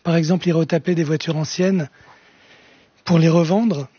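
A soft-spoken man telling stories as a guest on a radio talk show. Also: a little high, steady tone, normal speed, husky, dry recording. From France. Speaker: guest musician. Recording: dull lo-fi radio studio sound.

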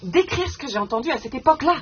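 A woman's voice: sits high, lively intonation, racing, muffled recording, crowd noise. An actress playing an earnest woman writer in a naturalistic stage drama, speaking in a hesitant, searching way and breaking off sentences. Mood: earnest, moved. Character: earnest woman writer haunted by village women's stories. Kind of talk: naturalistic stage acting, conversational.

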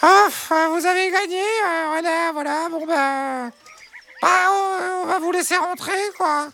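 A dithering, naive storybook hen, acted by a woman, extremely high in pitch and full of creak. She chats in stammering everyday phrases, with faint noise behind. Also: moderate pace, fairly bright tone, exaggerated voice. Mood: resigned. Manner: hesitant, stammering, colloquial. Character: storybook hens, female, dithering, naive, chatty.

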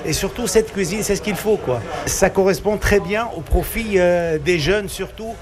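Man, fairly high voice. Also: normal speed, crowd noise. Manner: warm promotional, hesitant fillers. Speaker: restaurant worker. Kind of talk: street interview.

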